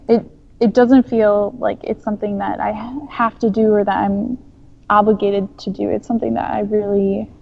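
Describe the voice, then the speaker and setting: A woman with a mid-range voice and a gravelly edge answers in a soft-spoken, hesitant way. She is a startup founder guest. The recording is dull and lo-fi, with faint noise behind. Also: medium pitch. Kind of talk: casual interview answers.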